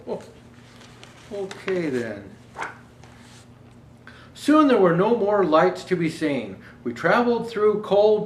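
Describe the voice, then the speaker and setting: Santa Claus, played by a man: jolly old storybook reader, fairly high-pitched, chatty, faint noise behind. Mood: amused. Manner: jovial ho-ho greetings, chatty asides. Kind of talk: children's storybook read-aloud. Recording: roomy echo, faint background noise.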